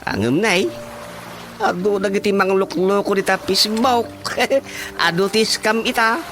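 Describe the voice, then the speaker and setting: An actor with a Filipino Ilocano accent playing a chummy, teasing neighbour in a radio comedy drama, exclamatory and drawling. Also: male voice, high-pitched, a little slow, fairly bright tone, slight background noise. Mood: teasing, impressed. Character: chummy, teasing neighbour who flatters the chairman. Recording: music behind the voice.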